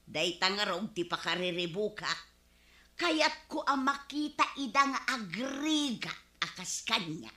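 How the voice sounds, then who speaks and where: Bright, piercing scheming village woman. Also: medium pitch, sing-song, a little slow. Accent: Ilocano. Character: scheming, envious village woman, quarrelsome and boastful. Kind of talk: Filipino comedy radio drama acting. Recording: roomy, echoing studio sound.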